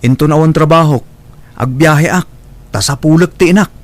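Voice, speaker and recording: A man's voice: fairly high pitch, normal speed, close to an everyday voice. A man playing a devoted, earnest young son in a radio drama, with a pleading manner. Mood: determined.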